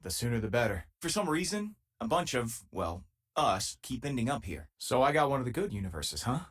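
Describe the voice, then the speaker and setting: An actor playing an easygoing, wisecracking spacefaring companion in a video game, talking in a casual, wry, conversational way. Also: male voice, medium pitch, big pitch swings, fairly quick, a bit bright. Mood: easygoing, friendly. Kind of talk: video game voice acting, conversational companion lines and combat callouts.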